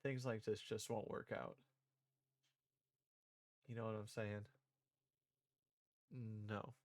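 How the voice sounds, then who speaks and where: A man's voice: on the low side, flat delivery, slow, dry recording. A man reading visual novel dialogue aloud and performing the parts, with casual asides as a streamer.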